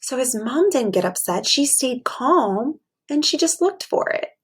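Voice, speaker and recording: A woman's voice: high-pitched, sing-song, unhurried, slightly rough. A woman teacher reading aloud to young children, explaining things simply and expressively and asking them questions.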